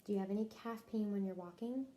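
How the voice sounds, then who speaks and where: A woman with a very mellow, soft-edged voice conducts a health interview, moving briskly from one short question to the next. Her pitch is average for a woman. There is faint room noise behind her. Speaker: interviewer taking a health history. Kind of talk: clinical question-and-answer interview.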